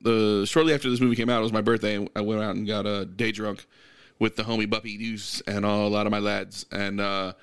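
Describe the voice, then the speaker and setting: A man co-hosting a casual podcast chat while playing a video game, talking in an animated way. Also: on the low side, fairly quick, clear, resonant tone, no echo, studio quality. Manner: animated, loud outbursts and frequent laughter. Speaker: podcast co-host.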